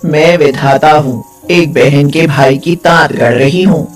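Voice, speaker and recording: A woman's voice: low voice, slow, soft background music, pitched below the performer's own voice. A woman voicing a solemn old goddess of fate in a folk tale, grave and cryptic. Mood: solemn, foreboding.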